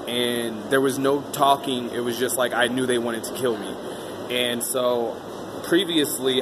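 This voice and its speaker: A man's voice: medium pitch, noisy background. A man talking about himself in a casual, rambling way, with many um fillers. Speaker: vlogger interviewing himself. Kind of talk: casual self-interview monologue.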